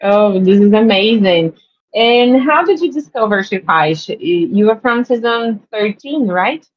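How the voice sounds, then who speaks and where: A woman hosting an interview, asking questions in an unhurried, encouraging way. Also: medium pitch, lively intonation, highs cut off. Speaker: host.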